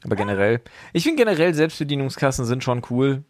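A man's voice: mid-range voice, big pitch swings, fast, dry recording, studio quality. A man in his thirties co-hosting a casual podcast chat, skeptical and teasing in an informal way. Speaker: podcast co-host.

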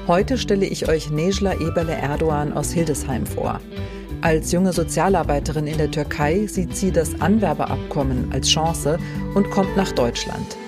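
A woman host reads a podcast script in a clear, even way. Faint music plays beneath her narration. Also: deep voice, moderate pace. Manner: clear, even read-aloud delivery.

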